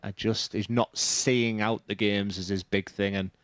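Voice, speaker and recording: Low-voiced Dutch-accented darts commentator. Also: male voice, steady tone, measured pace, no echo. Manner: analytical, plain-spoken. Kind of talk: live sports commentary.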